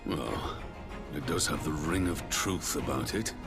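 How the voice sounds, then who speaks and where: A man's voice: extremely low pitch, measured pace, vocal fry, over loud music, heavily acted. A man playing a grave, thoughtful council leader in a video game cutscene, speaking formally. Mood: considering.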